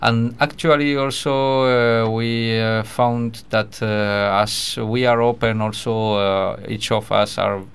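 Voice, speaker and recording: A man from the Country, speaking English as a guest in a radio studio, halting with many fillers and restarts. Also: lowish voice, level tone, smooth. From Basque Country. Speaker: project coordinator, radio guest. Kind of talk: casual studio chat.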